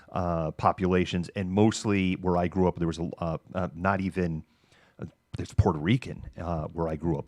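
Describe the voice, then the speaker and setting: A middle-aged American man hosting a livestream, talking casually and candidly in a halting, informal monologue. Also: sits low, darkish tone, no room sound. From Massachusetts, United States. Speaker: livestream news host. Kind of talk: casual monologue of personal reminiscence.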